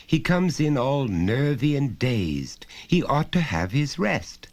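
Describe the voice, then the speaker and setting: Man putting on a fretful mother, lifted pitch. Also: big pitch swings, takes it slowly. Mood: worried. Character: fretful suburban mother, anxious and exasperated.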